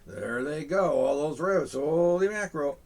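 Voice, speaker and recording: A man reading a children's picture book aloud, with chuckling, animated asides to young listeners. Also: on the high side, moderate pace, creaky. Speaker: storyteller in a Santa persona.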